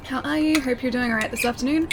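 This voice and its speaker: Giggly woman streamer with a croaky throat. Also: sits high. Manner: giggly and chatty, laughs often, calls viewers dude and man. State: slightly croaky, scratchy voice from a sore throat. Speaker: game streamer. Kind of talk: casual chat with live stream viewers.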